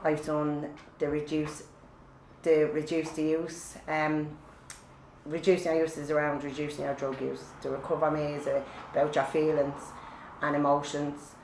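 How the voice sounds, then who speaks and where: A woman's voice: low-pitched, a little mellow. A woman, a peer support trainee, plainly listing the courses she has done. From Ireland. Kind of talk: recorded testimony. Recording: echoey room with faint noise.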